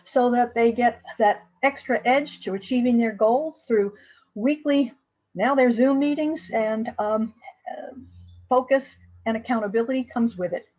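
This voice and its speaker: A woman who runs a support group for business owners, giving a hesitant, friendly pitch over video call audio that sounds dull and lo-fi. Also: a little slow, a little mellow. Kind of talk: short networking pitch.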